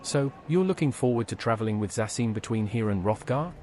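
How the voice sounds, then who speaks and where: Man as a lively, friendly adventurer hero. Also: mid-range voice, brisk, a little hiss or hum, lightly acted. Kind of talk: scripted game dialogue, AI-voiced.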